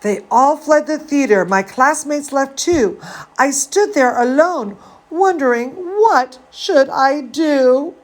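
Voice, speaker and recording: An earnest young zombie ballerina played by a woman tells her storybook tale. The voice is a little high and very expressive, with slow, theatrically stretched words. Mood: dejected, wondering.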